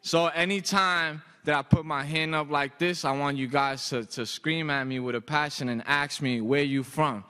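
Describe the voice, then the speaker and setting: Bright-voiced young man performing in a reverberant hall. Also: on the high side, fairly even intonation, moderate pace, hardly any pauses, clear, resonant tone. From Boston, United States. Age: young adult. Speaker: spoken-word poet. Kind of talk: live spoken-word poetry performance. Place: large auditorium stage, indoors. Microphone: microphone on a stand. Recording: reverberant auditorium stage.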